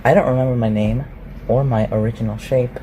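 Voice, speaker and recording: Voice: medium pitch, steady tone, brisk, dark tone, slight background noise, lightly acted. A performer in an ASMR roleplay voicing an ancient, reclusive shapeshifter, haughty and awkward, with formal, archaic phrasing. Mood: aloof, musing. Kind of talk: ASMR roleplay, scripted one-sided dialogue.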